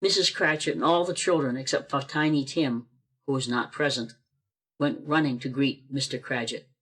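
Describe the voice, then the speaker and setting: A man reads the narration of an amateur radio play over a video call, in a steady storytelling manner. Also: medium pitch, normal speed, slightly rough.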